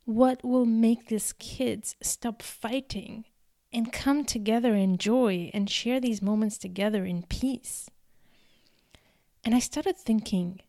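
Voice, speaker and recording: A woman in her thirties, from Algeria, giving an earnest, deliberate storytelling speech with emphatic repetitions. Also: mid-range voice, dark tone, dry recording, clean studio sound. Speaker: speaker giving a talk. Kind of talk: prepared personal storytelling speech.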